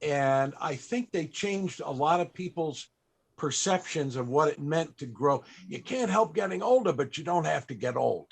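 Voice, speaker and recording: A man interviewing on a panel, conversational, with deliberate and emphatic phrasing. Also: on the high side, expressive, gravelly, slightly rough.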